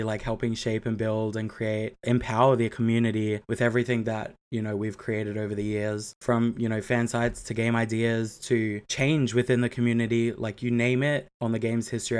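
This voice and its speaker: A man's voice: medium pitch, smooth. A young man from Australia, guest on a podcast, talking eagerly and casually with lots of fillers. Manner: enthusiastic, chatty, fillers like "you know". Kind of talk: casual interview chat.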